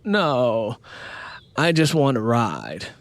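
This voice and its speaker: A man voicing a sleepy, wheedling teenage daughter in an audiobook, with a drawn-out, pleading manner. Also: medium pitch, sing-song, no room sound, heavily acted.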